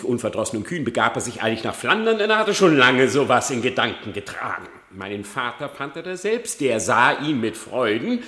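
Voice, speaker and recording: An actor playing a cunning, lying fox who theatrically feigns repentance in a classic German verse radio drama. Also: male voice, mid-range voice. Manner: theatrical, feigned repentance, swinging between hush and outcry. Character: cunning lying male fox pleading before his execution.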